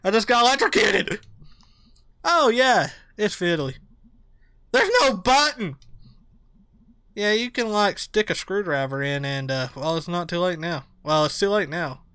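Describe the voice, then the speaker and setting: A man's voice: high voice, lively intonation, measured pace, pauses often, bright, forceful tone, clean tone, dry recording. A man giving casual, joking commentary while playing a video game. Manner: joking, chatty. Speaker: let's play host.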